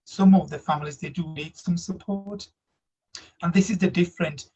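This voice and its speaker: A man in his thirties from Slovakia with a Slovak accent, answering interview questions earnestly in halting English. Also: sits high, fairly even intonation, mellow, breathy edge. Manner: earnest, reflective, halting non-native grammar. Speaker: guest, migrant workers support officer. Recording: dull lo-fi audio.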